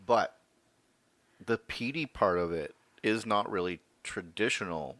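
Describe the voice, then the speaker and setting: A man from Windsor, Canada, co-hosting a casual beer podcast, telling stories in a relaxed way and laughing easily. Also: lowish voice, measured pace, dry recording. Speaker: podcast co-host. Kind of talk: casual chat.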